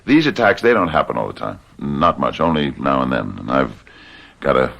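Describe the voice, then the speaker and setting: A man's voice: extremely low pitch, big pitch swings, moderate pace, creaky, fairly bright tone, full character voice. An actor playing an anxious, down-on-his-luck nephew and father in a radio mystery drama, hesitant and earnest. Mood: nervous, pleading.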